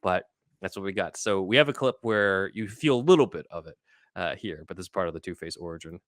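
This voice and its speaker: A man with a low, crisp-edged voice hosts a podcast. He explains things casually and conversationally, in a very dry recording. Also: expressive, quick pace, fairly bright tone, no echo. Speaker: podcast host.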